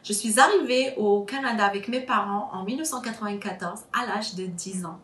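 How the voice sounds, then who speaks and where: A woman in her thirties, originally from Morocco, talks about her life and values in an earnest, searching way. She pauses often and fills gaps with hesitations. Her voice sits at a middle pitch and carries a clear room echo. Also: a bit bright, slight background noise. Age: 30s. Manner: earnest, many hesitations and fillers. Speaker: interviewee. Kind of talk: personal testimony.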